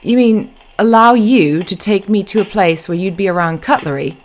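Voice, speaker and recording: Woman acting crisp British woman, politely insistent. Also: fairly low pitch, normal speed, lightly acted. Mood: teasing. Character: crisp, playful young British woman with a stained blouse. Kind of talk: audiobook character dialogue.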